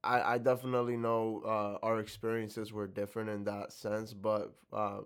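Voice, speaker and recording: A man's voice: on the low side, little pitch movement, normal speed, clear, resonant tone. A young man from the Dominican Republic answering interview questions reflectively, with many fillers and restarts. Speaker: interview guest.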